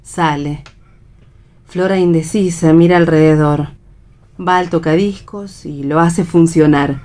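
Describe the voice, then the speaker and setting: A woman's voice: low-pitched, fairly even intonation, a little slow, dark tone. A woman with an Argentine accent reading stage directions as scripted narration for radio theatre.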